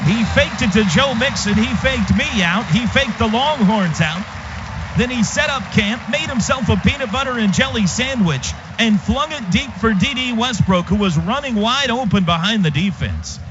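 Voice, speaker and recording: A man's voice: very high voice, expressive, moderate pace. A man doing live radio play-by-play of a football game in clipped, punchy phrases. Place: stadium radio booth. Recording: dull radio broadcast, loud stadium noise. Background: loud stadium ambience.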